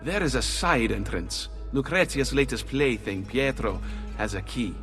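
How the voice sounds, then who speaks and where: An actor playing a shrewd conspirator in a video game. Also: male voice, mid-range voice, expressive, unhurried, a bit bright. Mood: measured, informative. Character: shrewd male Italian conspirator, informant to assassins. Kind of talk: dramatic video game acting. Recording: clear music bed behind.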